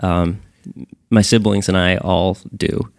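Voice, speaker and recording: Low-voiced Jersey man, dry close sound. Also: fairly even intonation, slow, no echo. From New Jersey. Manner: earnest, self-deprecating, frequent small restarts. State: a little tired. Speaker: guest, band frontman. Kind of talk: casual interview chat.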